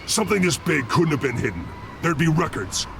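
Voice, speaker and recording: An actor playing a grim masked vigilante in a video game, speaking in terse, intimidating threats. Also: male voice, on the high side, sing-song, fairly quick, creaky, noisy background. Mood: threatening. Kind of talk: dramatic video game acting.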